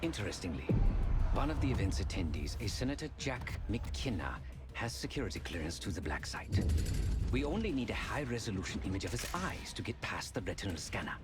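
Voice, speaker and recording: A clever defector in a game cinematic. He is wry and measured, his low voice swinging widely in pitch over loud music. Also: sing-song. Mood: wry, calm. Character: wry, clever male defector and tech expert.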